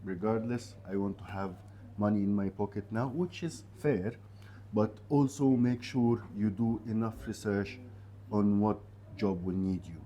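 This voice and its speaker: A man whose first language is Arabic, a university representative giving conversational advice to students in an interview, speaking haltingly and repeating short phrases for emphasis. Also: lowish voice, dark tone, a little hiss or hum.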